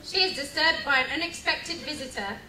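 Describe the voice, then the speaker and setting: A woman's voice: high voice, animated, brisk, sharp tone. A woman giving scripted comic narration for an amateur live radio play, theatrical and playfully reading out stage directions. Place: public lounge on a cruise ship. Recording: echoey distant mic, crowd and noise.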